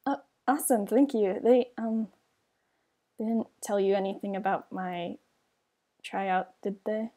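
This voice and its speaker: A woman's voice: medium pitch, steady tone, measured pace, long pauses, mellow, lightly acted. A woman playing a shy, nervous young fire mage in a game roleplay, hesitant, stammering and polite. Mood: grateful, nervous. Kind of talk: amateur roleplay acting in a game video.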